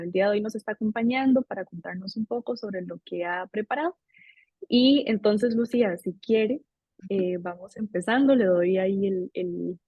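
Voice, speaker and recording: A woman's voice: on the high side, a little mellow, no echo. A woman hosting an online session over a video call. She is friendly and chatty and laughs easily. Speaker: host.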